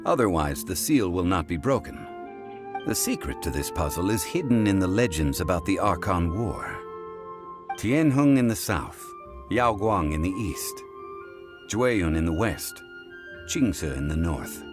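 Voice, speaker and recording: A man voicing a calm, learned and dignified figure in a video game, speaking in a measured, formal way. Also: deep voice, heavily acted. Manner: measured, formal, storytelling cadence. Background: game music.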